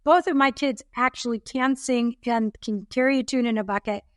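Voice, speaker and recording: A woman's voice: fairly high pitch, normal speed, no room sound, studio quality. A woman in her fifties co-hosting a podcast, chatting casually and encouraging listeners. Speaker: podcast co-host. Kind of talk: casual chat.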